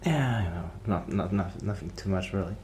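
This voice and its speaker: A man playing a shy, modest musician friend in a hesitant, soft-spoken ASMR roleplay. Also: deep voice, slight background noise, close to an everyday voice. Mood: bashful, nervous. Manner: hesitant, halting, apologetic.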